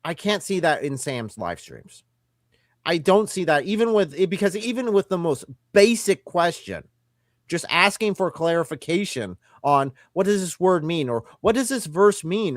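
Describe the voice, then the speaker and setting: A man hosts a livestream and speaks in an earnest, emphatic way. His voice sits a little high and is recorded close and dry. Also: no room sound. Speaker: livestream co-host. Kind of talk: livestream commentary and call-in.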